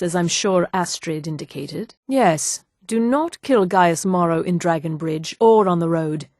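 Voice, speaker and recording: A woman playing a poised, coolly menacing elf assassin in a fantasy video game, speaking with formal, measured, deliberate diction. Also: fairly low pitch, darkish tone, no echo, studio quality.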